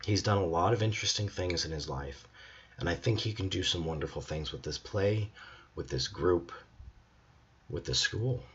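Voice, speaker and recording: A hoarse young man, a drama student, talking earnestly and reflectively in a personal video journal. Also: low-pitched, moderate pace, dull audio. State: hoarse, voice hard to hear.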